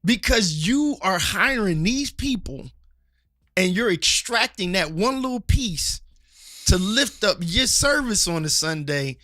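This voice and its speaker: A man's voice: high voice, animated, a little slow, sharp tone, no echo, studio quality. A man from Philadelphia co-hosting a podcast, ranting passionately about church culture and often restarting his sentences. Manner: passionate, rambling, many restarts. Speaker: podcast co-host. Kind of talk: casual church-culture chat and rant. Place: studio table with co-host.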